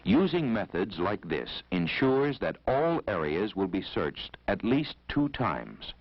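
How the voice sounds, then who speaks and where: A man with an American accent narrating an instructional film in a formal, measured, authoritative way, on a vintage 1970s soundtrack. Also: fairly low pitch, sing-song, no echo, lo-fi sound.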